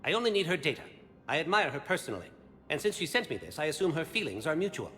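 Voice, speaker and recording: A man's voice: a little high, very animated, fast talker, bright, forceful tone, faint music behind. A man voicing an intense, confident alien special agent in a video game, clipped and formal in delivery. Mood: earnest, intent. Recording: long echoing reverb, background noise.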